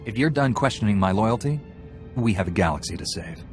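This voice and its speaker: Casting: male actor for hard-edged, self-assured Jedi warrior, game hero. Low voice, blunt and defiant. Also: animated, a little fast, a little mellow, soft background music, close to an everyday voice. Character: confident, defiant young male Jedi warrior turning dark. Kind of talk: cinematic video game acting.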